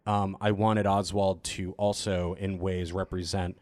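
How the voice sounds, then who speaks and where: Low-pitched man in casual Q&A. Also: flat delivery, measured pace, darkish tone, no echo. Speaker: cast member of an improvised audio drama, answering questions. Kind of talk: casual Q&A chat.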